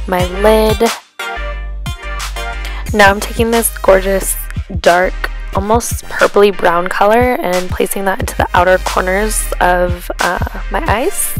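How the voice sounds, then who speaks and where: A young woman narrating a makeup tutorial in voice-over, relaxed and step by step with some ums, her voice a little off from being sick. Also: medium pitch. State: sick, voice a bit off. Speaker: beauty vlogger. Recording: voice-over with music underneath. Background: music.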